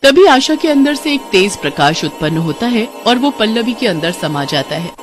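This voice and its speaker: A woman's voice: medium pitch, very animated, normal speed, faint music behind. A woman storyteller reading a scripted fairy-tale narration.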